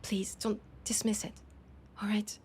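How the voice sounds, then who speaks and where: A woman playing a proud but desperate vampire leader in an intimate audio drama, with a French accent and a halting, breathy delivery that slips toward whispers. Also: mid-range voice, level tone, darkish tone, slight background noise, natural voice. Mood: pleading, ashamed. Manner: hesitant, halting, breathy asides dropping into whispers.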